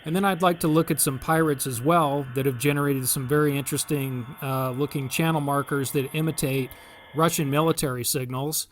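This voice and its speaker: A man hosting a video, explaining in an unhurried, halting way, pausing often as he walks through examples. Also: fairly high pitch, steady tone, a little hiss or hum. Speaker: host.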